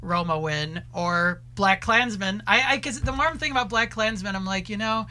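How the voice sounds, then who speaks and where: A man speaking as a guest film critic in an animated studio discussion, with an effusive, enthusiastic manner. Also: sits high, moderate pace. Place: radio studio.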